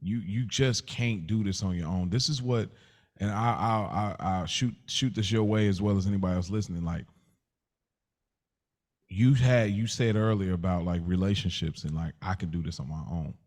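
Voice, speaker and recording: Low-pitched American man, reflective and slangy. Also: normal speed, darkish tone. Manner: slangy, affirming, circles around his point. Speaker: podcast co-host. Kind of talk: casual, reflective podcast conversation.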